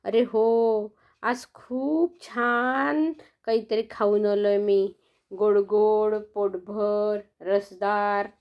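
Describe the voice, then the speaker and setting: A woman voicing a cheerful little boy elephant who talks in an excited, savoring way in a storybook tale. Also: medium pitch, very slow, lo-fi sound.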